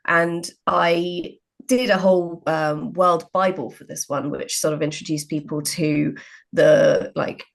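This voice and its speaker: A woman with a fairly low, noticeably creaky voice talks in a casual interview setting. She speaks as a writer, in informal, unscripted chat. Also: fairly even intonation, measured pace. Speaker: writer in conversation.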